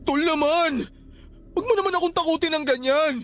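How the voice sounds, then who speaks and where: An actor playing a grieving, guarded and easily frightened son in a Filipino radio drama, speaking colloquially with emotion. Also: male voice, very high-pitched, fairly quick, fairly bright tone. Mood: panicked, frightened. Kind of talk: Tagalog radio drama acting. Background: background music.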